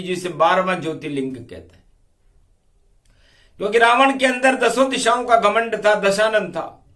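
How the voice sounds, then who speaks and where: A man preaching in a devotional storytelling style, half-singing rhymed verse in drawn-out, declamatory phrases and breaking into quick spoken explanations. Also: high voice, fairly bright tone.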